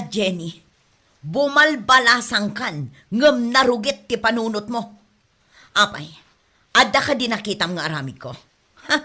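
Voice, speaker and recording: A woman's voice: mid-range voice, pushed and bright. A woman with an Ilocano accent playing a secretive, aggrieved mother in an audio drama. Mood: scolding. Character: Marta, secretive unfaithful mother, defensive, aggrieved. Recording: music behind the voice.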